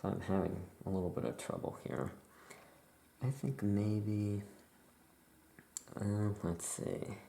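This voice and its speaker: A soft-spoken ASMR host musing in a hushed, self-deprecating way while chatting through a craft task. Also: low voice, steady tone, slow, vocal fry, long pauses, slight background noise.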